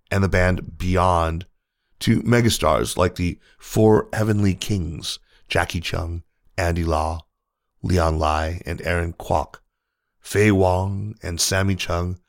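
A man reading a news feature article aloud in a measured, even narration. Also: low voice, dry recording, clean studio sound.

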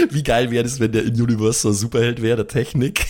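A man's voice: mid-range voice, huge pitch swings, brisk, over music. A man chatting casually as a player in an improvised tabletop game. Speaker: player in tabletop audio play.

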